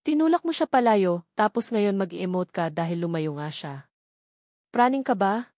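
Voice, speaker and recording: Heartsick young female lead voiced by a woman storyteller, emotional inner voice, mid pitch, romance storybook. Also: lively intonation, normal speed, dark tone, no room sound, clean studio sound, close to an everyday voice. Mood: self-scolding.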